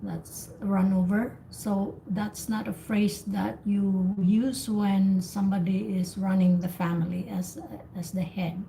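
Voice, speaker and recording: A woman's voice: mid-range voice, flat delivery, slow pace, creaky, hardly any pauses, warm tone, rough recording. A woman hosting an online English speaking practice, asking questions and giving simple explanations and corrections over a group call. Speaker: host and English speaking teacher. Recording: faint background noise on online call.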